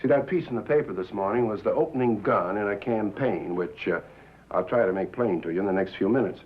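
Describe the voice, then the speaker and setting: An actor playing a scheming, paternal network president in a 1950s film drama, condescending, with deliberate, hesitant pauses. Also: male voice, fairly low pitch, narrow, tinny audio, a little hiss or hum, natural voice. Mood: calculating. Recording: thin, boxy old film soundtrack.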